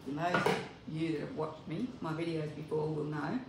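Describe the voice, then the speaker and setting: A woman's voice: low voice, little pitch movement, normal speed, gravelly. An older woman from Australia hosting a home cooking demonstration, chatting to viewers as she works in her kitchen and picked up by a camera mic at a distance. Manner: chatty, talks to viewers while working. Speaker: cooking video host. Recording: echoey kitchen with appliance noise.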